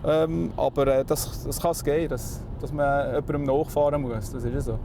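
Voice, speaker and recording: A man's voice: on the high side, steady tone, normal speed, gravelly. A man with a Swiss accent, a motorway police officer, casually explaining his work. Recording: faint outdoor traffic noise.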